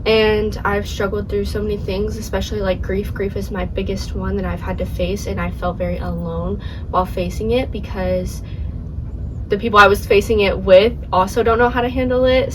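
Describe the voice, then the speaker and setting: Casting: 16-year-old girl podcast co-host, halting casual talk full of fillers, recorded from across the room. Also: medium pitch, steady tone. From St. Louis, USA. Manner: halting, lots of fillers and restarts. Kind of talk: casual chat. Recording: distant mic with clear background noise.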